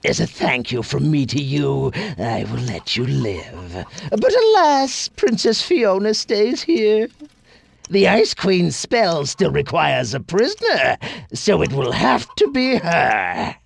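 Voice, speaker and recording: An actor playing a theatrical, smug villainous tyrant in a video game, with pompous, gloating, drawn-out delivery. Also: male voice, fairly high pitch, sing-song, gravelly, dry recording, heavily acted. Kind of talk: video game cutscene acting.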